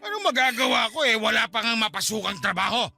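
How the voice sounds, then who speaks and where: An actor playing a belligerent, needy Filipino drunkard husband in a radio drama, whiny and blustering. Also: male voice, extremely high pitch, expressive, fast talker, creaky, sharp tone, faint music behind, full character voice. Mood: defensive, angry.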